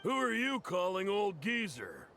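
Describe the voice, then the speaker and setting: An actor playing a blustery, gruffly good-natured middle-aged craftsman in a video game. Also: high-pitched, huge pitch swings, unhurried, bright, forceful tone, slight background noise, exaggerated voice. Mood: indignant, blustering.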